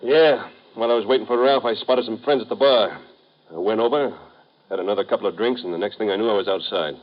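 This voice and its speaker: An actor playing a hungover, rich American playboy in a 1950s radio drama, sardonic and weary. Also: male voice, fairly low pitch, fast talker, lightly acted. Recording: dull old radio broadcast.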